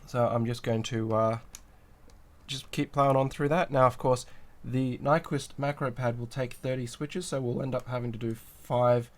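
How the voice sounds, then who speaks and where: A man's voice: mid-range voice, steady tone, moderate pace. A man hosting a livestream with a cold and sore throat, sounding nasal as he chats casually, greets viewers and reads out the chat while he builds a kit. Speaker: livestream host.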